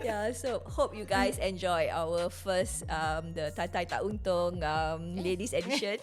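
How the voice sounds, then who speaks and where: A woman's voice: on the low side, a little slow, soft background music. A woman with a Singaporean Malay English accent hosting a casual podcast, steering the talk and scattering lah and kan through her sentences. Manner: leads the talk, uses particles like lah and kan. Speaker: podcast host. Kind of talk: casual chat. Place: home podcast studio. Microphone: studio mic on boom arm, headphones.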